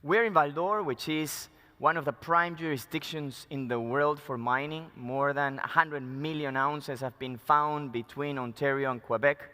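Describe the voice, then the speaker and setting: A man from Peru with a Spanish accent gives a company presentation to investors at a conference, speaking in halting but emphatic phrases. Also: a little high, pushed and bright. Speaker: mining company chief executive presenting. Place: large indoor conference room. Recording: echoey conference hall reverb.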